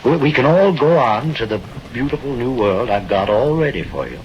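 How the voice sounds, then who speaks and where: An actor playing a godlike, fatherly older man who speaks slowly and persuasively in an old-time radio drama. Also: mid-range voice, big pitch swings, lightly acted. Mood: fatherly, frank. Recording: dull old radio recording, noisy. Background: rain and thunder.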